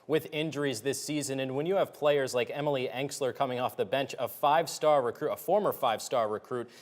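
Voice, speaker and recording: A man's voice: medium pitch, a little fast. A man giving conversational commentary as an analyst on a sports broadcast.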